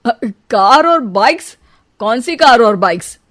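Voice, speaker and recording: A woman's voice: on the high side, lively intonation, slow pace, fairly bright tone, dry recording, studio quality. A woman voicing a boastful young husband in a cartoon, glib and full of evasive excuses. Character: boastful, evasive young husband telling slippery half-truths. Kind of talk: cartoon dialogue, Hindi moral story.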